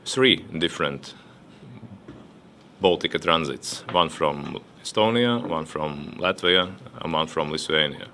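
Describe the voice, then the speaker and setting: A man on a discussion panel giving his remarks, halting and often repeating words. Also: very deep voice, bright, forceful tone, a little hiss or hum. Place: conference hall.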